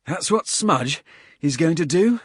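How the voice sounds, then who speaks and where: Male narrator playing a dry-witted hard soldier, husky, raised a little. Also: fairly high pitch, expressive, a little slow, no room sound, pitched above the performer's own voice. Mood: probing. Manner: dry, teasing. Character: hungover, wry tough male soldier.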